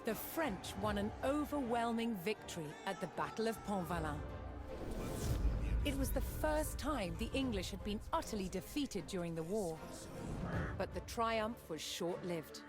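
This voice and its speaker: A woman reading scripted historical documentary narration in a measured, dramatic storytelling manner with deliberate pauses. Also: medium pitch, animated. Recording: studio narration over background music. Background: music.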